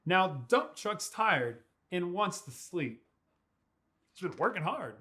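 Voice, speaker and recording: A young man reading a children's storybook aloud in a playful, expressive way with drawn-out words. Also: sits high. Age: young adult. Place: small furnished room indoors.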